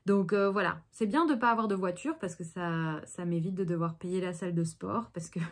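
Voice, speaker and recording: A woman's voice: lowish voice, moderate pace. A woman, chatting casually about her day in a rambling vlog with many fillers. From Belgium. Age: 30s. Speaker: actress vlogger.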